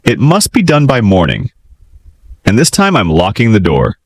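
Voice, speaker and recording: Guy doing a bossy storybook king, suspicious and threatening, really animated pitch. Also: normal speed. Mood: stern, threatening. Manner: demanding, commanding. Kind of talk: fairytale storybook voice.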